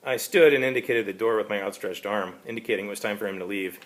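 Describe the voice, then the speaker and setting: A man giving an amateur reading of a first-person story, narrating in a halting, wry way. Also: fairly low pitch, a bit bright, slight background noise. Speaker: author reading his own story.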